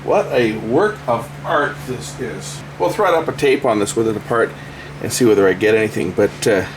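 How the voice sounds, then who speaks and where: Man thinking aloud, steady background noise. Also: lowish voice, normal speed. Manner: unscripted, thinking aloud as he works. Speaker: electronics repair video host. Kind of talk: casual hands-on repair commentary.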